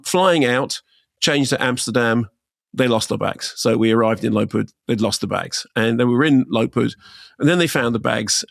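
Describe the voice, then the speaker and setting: A man's voice: medium pitch, gravelly, fairly bright tone, no room sound, clean studio sound. A man in his sixties co-hosting a casual podcast chat, telling rambling stories and often correcting himself mid-sentence. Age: 60s. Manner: rambling, self-correcting storyteller. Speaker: co-host.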